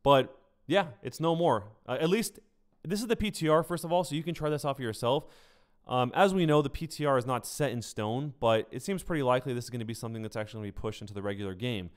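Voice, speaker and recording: A man's voice: medium pitch, quick pace, clean tone. A man hosting a live game stream, giving casual news commentary in an informal, conversational way, speaking directly to his viewers. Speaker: game streamer and video host.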